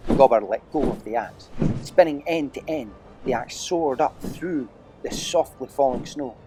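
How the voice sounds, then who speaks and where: A man's voice: mid-range voice, a little slow, over music. A man narrating an adventure audiobook as a dramatic, theatrical storyteller with emphatic delivery.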